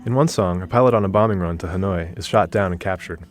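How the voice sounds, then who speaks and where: A man narrating a radio feature in a measured, descriptive way. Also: low voice. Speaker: reporter narrating.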